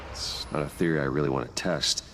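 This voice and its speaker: An actor playing a brooding, serious vigilante in a video game, measured and grimly determined. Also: male voice, rumbling low, steady tone, background noise. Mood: grimly focused. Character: brooding male billionaire vigilante, adult, grimly determined and analytical.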